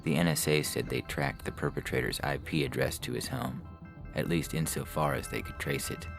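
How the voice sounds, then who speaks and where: A man's voice: rumbling low, very animated, normal speed, creaky. A man reading scripted fiction as the narrator of a story. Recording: music bed under the voice. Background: music.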